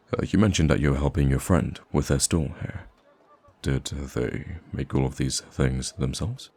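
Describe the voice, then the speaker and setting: A man playing a soft-spoken, wistful and gracious young prince in an ASMR roleplay, speaking gently and haltingly. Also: heavily acted. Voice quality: very deep, gravelly, husky. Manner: hesitant, gentle, halting with sighs and stammers.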